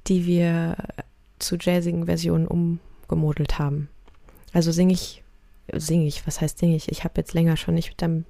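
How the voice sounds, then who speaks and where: Gravelly low-toned woman musician. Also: warm tone, no room sound, studio quality. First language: Russian. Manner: hesitant, many fillers and restarts. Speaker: musician guest. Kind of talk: casual interview talk.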